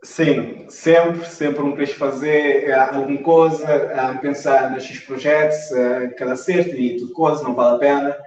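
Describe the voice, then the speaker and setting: A man's voice: mid-range voice, little pitch movement, unhurried, hardly any pauses, darkish tone. A man giving casual, conversational answers as an interview guest. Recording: muffled, roomy, distant mic on stream.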